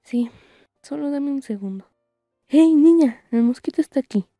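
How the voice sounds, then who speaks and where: A woman voicing a blunt, sarcastic older witch and guardian in a fan comic dub, stern and husky. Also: sits high, lively intonation, normal speed, over music.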